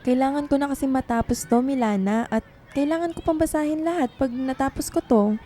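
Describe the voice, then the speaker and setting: A woman's voice: high voice, fairly quick, warm tone, a little hiss or hum, natural voice. A woman playing a secretive, melancholy young student writer in radio drama dialogue. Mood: pensive, urgent.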